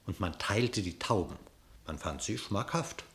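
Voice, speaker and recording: A male narrator tells a verse fable for radio. His voice is a little low, with wide, animated swings and a declamatory storytelling delivery. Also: a little slow, creaky.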